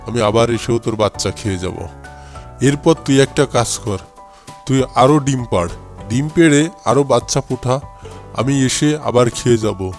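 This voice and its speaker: Wicked male fox, acted by a man, cartoon fable, greedy, gloating, bullying, commanding, mid pitch, faint music. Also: close to an everyday voice. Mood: gloating, taunting.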